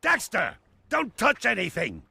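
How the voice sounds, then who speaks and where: Crotchety old male sage, high creaky voice, wide swoops, stern, game cutscene. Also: huge pitch swings, measured pace, bright, forceful tone, exaggerated voice. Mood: stern, solemn. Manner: stern, cautioning, emphatic. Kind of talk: animated video game acting. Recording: orchestral game music behind.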